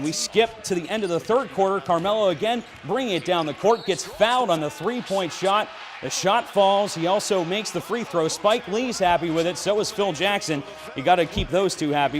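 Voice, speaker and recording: A young adult man working as a sports announcer and anchor, delivering commentary and news. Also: high voice.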